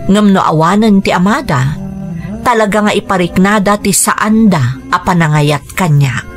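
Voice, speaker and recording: A woman's voice: mid-range voice, normal speed, close to an everyday voice. Patient Ilocano stepmother over music, acted by a woman. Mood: troubled confiding. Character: patient, caring young stepmother wanting the children's acceptance. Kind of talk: radio drama acting.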